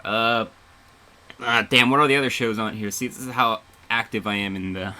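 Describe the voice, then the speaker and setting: A man's voice: mid-range voice, bright, forceful tone. A man hosting a music radio show in casual DJ patter, rambling, joking and self-deprecating. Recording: music playing faintly underneath. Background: faint music.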